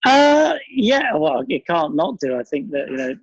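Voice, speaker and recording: A man's voice: fairly high pitch, very animated, measured pace, husky, no echo, muffled recording. A middle-aged man, reflecting casually in an interview in a rambling, thoughtful, self-deprecating way. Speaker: interview guest, writer, director and actor.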